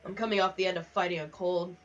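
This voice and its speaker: A woman's voice: fairly low pitch, fairly even intonation, quick pace, a bit bright. Distant-sounding young woman chatting on stream. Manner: rambling, chatty asides. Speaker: livestreamer. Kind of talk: casual livestream chat while gaming. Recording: distant, slightly muffled mic.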